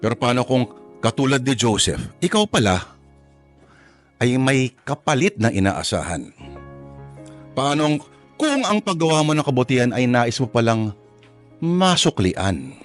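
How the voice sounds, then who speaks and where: A man hosts a radio drama program with a lively, swinging delivery at a middling pitch. He talks to listeners like a preacher, posing rhetorical questions as he sets up the story, with soft music underneath. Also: a little slow, pauses often. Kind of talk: conversational radio introduction to a drama. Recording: music behind the voice. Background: background music.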